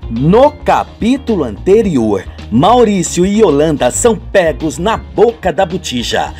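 A man's voice: high-pitched, darkish tone. A man narrating a radio soap opera in a theatrical, colloquial storytelling manner.

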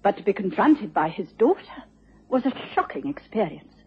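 An actress playing an anxious, earnest doctor's wife with a husky voice, telling her story in a radio drama. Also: mid-range voice, very animated, moderate pace. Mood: anxious, reflective. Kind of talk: radio drama narration and acting. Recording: dull lo-fi radio broadcast.

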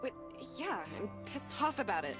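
A woman's voice: a little high, big pitch swings, normal speed. A woman voicing a blunt, impatient young woman in a visual novel, with a curt and sarcastic manner. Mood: curt, irritated. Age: young adult. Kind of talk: visual novel character reading. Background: background music.

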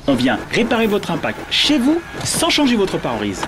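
Male ad read, persuasive, lively high voice, noisy audio. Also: moderate pace. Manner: clear persuasive sales pitch. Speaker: advertising spokesman. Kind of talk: scripted radio ad read.

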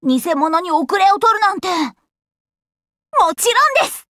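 A woman's voice: extremely high pitch, sing-song, measured pace, a bit bright, dry recording, clean studio sound, heavily acted. A woman voicing a plucky, earnest, friendly young fox-eared girl adventurer in a Japanese anime game, with boyish, frank, upbeat phrasing. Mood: earnest, helpful, then urgent, alert. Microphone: close, dry studio recording.

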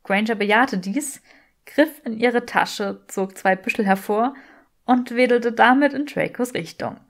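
A woman's voice: fairly high pitch, a little slow. A woman reading scripted fiction as an audiobook narrator. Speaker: audiobook reader.

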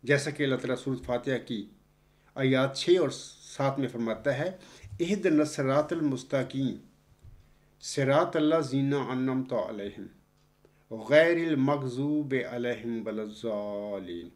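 An older man giving a Friday sermon with a close mic, explaining scripture and chanting Arabic verses in long, drawn-out phrases. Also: mid-range voice. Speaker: preacher. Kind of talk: Friday sermon with slow Quranic recitation. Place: indoors, seated before a backdrop screen. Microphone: close studio mic in front of the face.

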